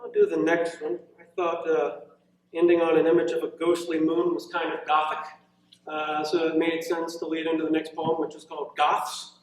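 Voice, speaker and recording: A male poet reads his work aloud to a live gathering, with measured verse and loose, conversational asides. His voice sits fairly high for a man. It comes through heavily echoing, picked up some way from the microphone. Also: monotone. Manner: measured verse delivery, informal hesitant asides. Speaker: poet reading own work. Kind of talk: live poetry reading with casual asides. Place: indoor college reading event, echoing room. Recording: very echoey room, distant mic.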